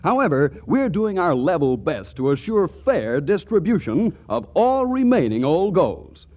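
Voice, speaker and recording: A man announcing a 1940s radio show in a genial, persuasive manner. His pitch sits slightly raised, with lively, sweeping intonation. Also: unhurried, muffled recording.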